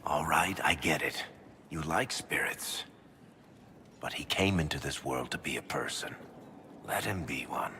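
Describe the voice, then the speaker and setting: A man's voice: a bass voice, unhurried, long pauses, sharp tone, in a large hall, a little hiss or hum, exaggerated voice. An actor playing a wry, down-to-earth male dwarf who talks in a dry, sardonic way. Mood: easygoing.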